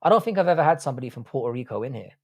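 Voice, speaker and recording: A man's voice: medium pitch, expressive, rapid-fire. A young man hosting a live stream, reading chat messages and answering in blunt, jokey, crude banter. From Ireland, grew up in the UK. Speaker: livestream host reading chat.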